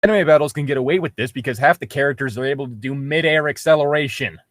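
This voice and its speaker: A man's voice: mid-range voice, fast, no echo. A young man mockingly reading fan fiction aloud and breaking off for sarcastic, sweary commentary. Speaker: reader and co-host.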